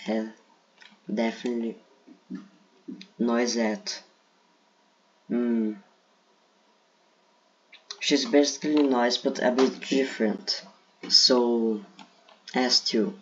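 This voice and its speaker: A man's voice: medium pitch, flat delivery, drawn-out words, long pauses, breathy edge. A man from Brazil with a Brazilian accent, speaking English as a second language, casually ranking game characters while thinking aloud. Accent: Brazilian Portuguese. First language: Portuguese. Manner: hesitant, thinking aloud with fillers. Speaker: gaming video creator. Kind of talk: casual tier list commentary. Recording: dull lo-fi mic.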